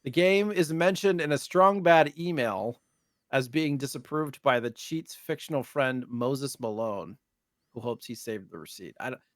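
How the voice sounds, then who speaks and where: A man in his thirties co-hosting a casual gaming chat podcast, talking in a halting way with trailing asides. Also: medium pitch, lively intonation, no echo, studio quality. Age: 30s. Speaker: podcast co-host.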